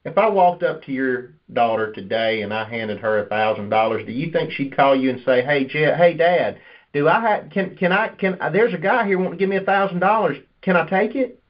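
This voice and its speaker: A man's voice: on the high side, brisk. Guy doing sales coaching on a conference call, talks persuasive and explains stuff, bit muffled. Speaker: insurance sales manager and trainer. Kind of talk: conversational sales coaching with role-play pitches. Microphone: conference call line. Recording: dull, lo-fi conference call audio.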